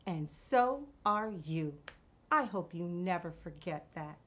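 A woman's voice: on the low side, expressive, takes it slowly, a bit bright. A woman in her thirties presenting to children in a theatrical, emphatic, enthusiastic way. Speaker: children's show host.

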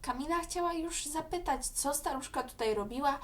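High-voiced woman narrating a children's story. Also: moderate pace, a little hiss or hum.

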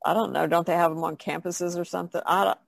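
Lady podcast cohost just chatting, bit of gravelly fry, dry sound. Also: on the low side, steady tone, fast talker, no room sound. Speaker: podcast co-host. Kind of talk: casual chat.

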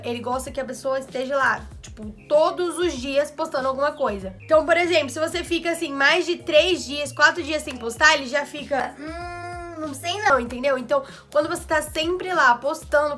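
A young woman vlogger, slightly hoarse from a cold, giving tips in chatty casual talk full of asides, filmed in her small bedroom studio. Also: sits high, sharp tone. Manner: chatty, quick asides and fillers. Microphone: camera in front, head and shoulders framing.

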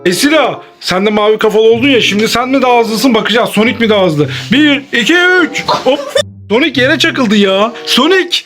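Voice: medium pitch, expressive, moderate pace. A host playing with children in an improvised make-believe game, exclaiming, teasing and repeating phrases. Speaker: host of a family play video who grants wishes. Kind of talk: playful improvised play with children. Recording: loud background music. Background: music.

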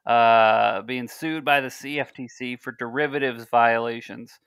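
A man's voice: medium pitch, steady tone, a little slow, fairly bright tone, smooth, dry recording. A man in his thirties hosting a podcast over a video call on a headset mic, chatting loosely and reading news and sponsor copy with small slips. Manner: loose, rambling, reads aloud with small slips. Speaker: podcast host. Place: home room on a video call.

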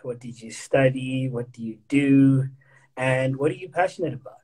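Young male host, husky voice, upbeat and eager, phone video call from a small room. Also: medium pitch, normal speed, a little mellow, poor audio. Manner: upbeat, enthusiastic, many fillers. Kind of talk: casual livestream interview. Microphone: phone front camera, video call.

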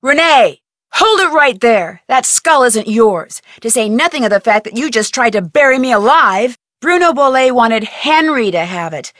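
An actress voicing a curious young woman detective in a video game, talking to herself in a brisk, wry way. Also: fairly high pitch, lively intonation, fairly bright tone, no room sound. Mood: confronting. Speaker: game protagonist. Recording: dry studio voice-over.

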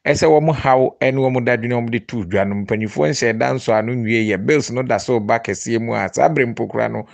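A Ghanaian man delivers a scripted news report as a voice-over. His pitch sits in the middle of the male range. The recording is close and dry, with very little room sound. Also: brisk, creaky, no echo.

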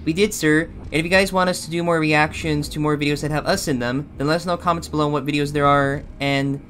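Man acting as cartoon shadow bear sidekick, slightly high voice, obedient, eager, hesitant, improvised banter. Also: fairly even intonation, fast talker, fairly bright tone, slight background noise, lightly acted. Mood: eager, deferential. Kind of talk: improvised character reaction banter.

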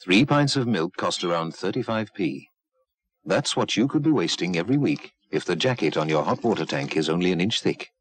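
A man's voice: low-pitched, lively intonation, a little fast, husky, no echo. A man with a British accent reading scripted public information narration as an advert voice-over.

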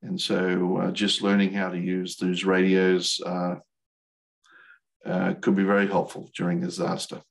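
Low, slow-talking older American man. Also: completely flat delivery, vocal fry. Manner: hesitant, halting delivery. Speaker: volunteer instructor, radio operator. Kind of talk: informal slide presentation. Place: video call.

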